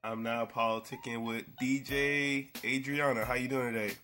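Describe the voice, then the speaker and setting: A man's voice: mid-range voice, fairly even intonation, moderate pace, pushed and bright, a little hiss or hum. A man hosting an interview, asking questions in a casual, loose way. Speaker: interview host.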